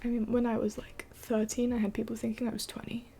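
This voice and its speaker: A young woman in her twenties rambling through a late-night livestream Q&A, her mellow voice slipping in and out of a hushed whisper. She talks in a gravelly fry, a little higher than most, with faint noise behind her. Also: flat delivery, quick pace. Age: 20s. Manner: rambling, many fillers, often drops to a whisper. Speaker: livestream host. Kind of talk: late-night live Q&A chat.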